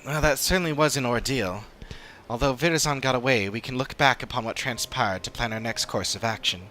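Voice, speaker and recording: A man voicing an earnest, formal fantasy adventurer in video game dialogue, with measured and serious delivery. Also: mid-range voice, pushed and bright, slight background noise, lightly acted. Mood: serious, thoughtful.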